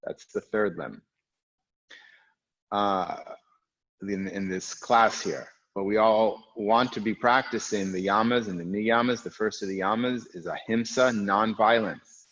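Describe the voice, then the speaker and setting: A man from the United States with a lowish, strong voice leads a yoga class. He talks calmly and reflectively, with pauses between phrases. The sound is dull and lo-fi. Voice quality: loud, strong voice. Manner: calm, reflective, speaks in unhurried phrases with pauses. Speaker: yoga teacher. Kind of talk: guiding an online yoga class. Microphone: recorded for an online class video.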